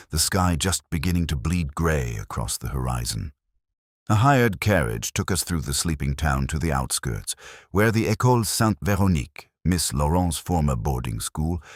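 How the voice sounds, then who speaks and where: A man with an extremely low voice narrates a detective story in a measured, literary manner. His pitch moves around in a lively way, and the sound is dry and close. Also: no room sound. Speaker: audiobook narrator.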